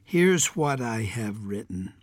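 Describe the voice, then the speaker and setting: An older man from the United States, hosting a radio program, reading a prepared letter aloud. His voice is raspy, and his speech is a bit strained and unclear. He reads gravely and deliberately, stressing each item in his lists. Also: fairly low pitch, sing-song, no echo.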